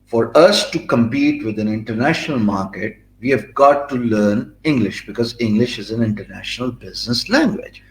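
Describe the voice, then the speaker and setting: A man's voice: medium pitch, expressive. Pakistani man with an echoey sound. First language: Urdu. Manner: fluent but filler-heavy, with frequent restarts and emphatic pauses. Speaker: guest. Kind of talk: interview answers.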